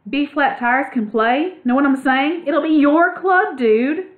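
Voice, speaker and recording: A pushy, slang-talking young male mouse full of big plans, as a woman puts him on. The voice sits high and is pressed through a thin, phone-like line. He talks earnestly and never lets up. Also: animated, moderate pace, lightly acted. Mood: eager. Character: eager young male mouse, bold talker. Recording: tinny phone-like sound.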